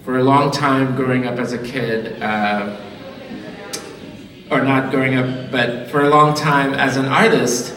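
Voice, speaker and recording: A man from Liberia giving an artist talk in a gallery, speaking slowly with many pauses and fillers. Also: mid-range voice, fairly bright tone. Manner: slow, halting, many fillers. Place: large echoey gallery indoors. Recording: roomy hall, far from mic.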